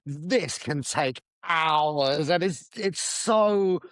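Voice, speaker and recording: A man's voice: a little high, drawn-out words, fairly bright tone, no echo, studio quality. A man co-hosting a casual podcast about teaching, chatty, often searching for words and laughing.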